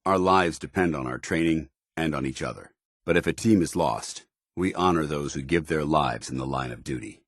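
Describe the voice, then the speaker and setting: A stern, duty-bound military officer from a video game. His voice is extremely low and rough-edged, recorded dry and close. He speaks in clipped, formal phrases with the weight of command. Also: moderate pace, dry recording. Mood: resolute, solemn. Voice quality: deep, gravelly. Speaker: video game companion character. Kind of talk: scripted video game dialogue.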